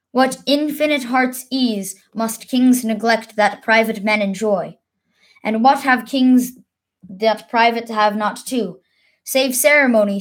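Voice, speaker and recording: A woman reading the part of a troubled, brooding king in Shakespearean verse, declaiming and sometimes restarting a stumbled word. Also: fairly high pitch. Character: troubled young warrior king, brooding on burdens of rank.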